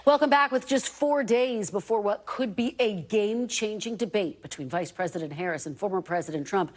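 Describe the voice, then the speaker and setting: Crisp woman news anchor. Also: mid-range voice. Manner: crisp, brisk broadcast delivery. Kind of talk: news show hosting and interviewing.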